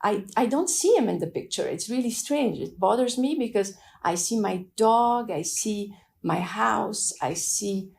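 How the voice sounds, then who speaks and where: Middle-aged woman, French accent, from Afghanistan; soft, reflective personal testimony. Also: medium pitch, animated, creaky. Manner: thoughtful, soft-spoken, pauses and restarts while recalling. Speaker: interviewee telling her migration story.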